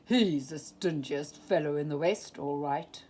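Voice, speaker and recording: A woman's voice: deep voice, unhurried, mellow, slight background noise, voice pushed down. A woman voicing a good-natured male sheriff with a folksy drawl.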